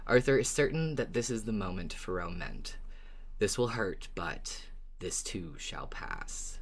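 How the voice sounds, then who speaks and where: A podcast co-host with an expressive, wry way of talking through recaps and casual discussion. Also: low-pitched, unhurried, husky.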